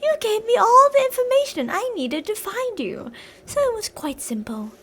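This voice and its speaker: A woman's voice: very high-pitched, very animated, normal speed, a little hiss or hum, exaggerated voice. A woman playing an obsessive, possessive female fan turned kidnapper in an intimate, dramatic ASMR roleplay monologue. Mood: smug, fixated. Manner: intimate, swinging between insistent pleading and soft whispery menace.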